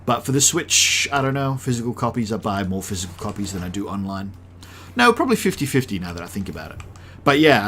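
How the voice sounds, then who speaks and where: A man in his late thirties with a fairly low voice and a mixed South African, Australian and American accent. He rambles casually through a live game stream and thinks aloud with plenty of ums. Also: fairly bright tone, a little hiss or hum. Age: late 30s. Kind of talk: live-stream gameplay chat.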